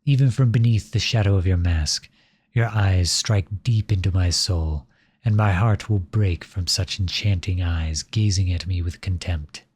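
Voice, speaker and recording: A man playing a flirtatious, silver-tongued royal at a masquerade ball in an ASMR roleplay, speaking in courtly, formal, flattering phrases with a husky voice. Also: deep voice, unhurried, no echo. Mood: pleading, contrite. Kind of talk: ASMR roleplay, scripted romantic monologue addressed to listener.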